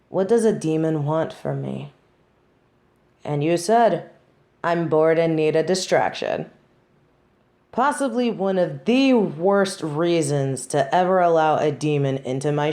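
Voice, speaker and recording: A haughty fallen angel played by a woman speaks in a low, mellow voice, taking her time over every word. She is snobby and wry, with theatrical, formal phrasing and a hidden devotion, as in an intimate audio drama for a single listener. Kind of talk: scripted audio roleplay, intimate monologue to one listener.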